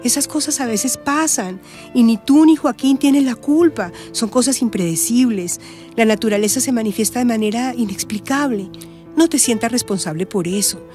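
Radio soap role: woman as compassionate adviser, mellow tone, faint music beneath. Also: a little high, normal speed, close to an everyday voice. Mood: reassuring. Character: calm, compassionate woman counseling a troubled girl. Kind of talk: radio drama acting.

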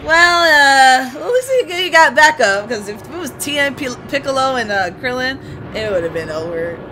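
A woman's voice: sits high, lively intonation, normal speed, background noise. A young woman giving casual, slangy, exclamatory commentary as a reaction video host. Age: young adult. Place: small room at home, indoors. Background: video audio and music playing.

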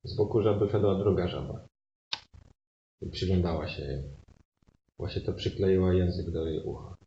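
A man's voice: sits low, normal speed, dull audio. A man narrating an improvised comic fairy tale live, in an echoey room with background noise. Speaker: improv storyteller and performer. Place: live venue with audience.

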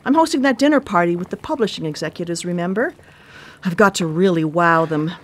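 An actress playing a brisk, sardonic older sister and proud home cook in a contemporary radio drama, with a dry, teasing manner. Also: female voice, on the low side, animated.

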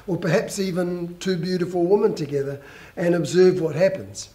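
An older man, a pastor, preaching a measured, earnest sermon on a church stage through a headset mic. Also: high-pitched, darkish tone. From New Zealand.

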